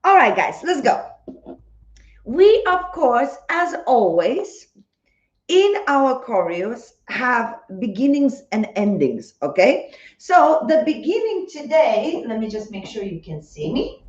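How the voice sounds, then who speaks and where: A woman's voice: a little high, very animated, slow pace, a little mellow. A woman dance instructor leading a live-streamed class, bubbly and greeting viewers by name, her voice a little worn as she is losing it. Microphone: phone. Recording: roomy, slightly dull sound.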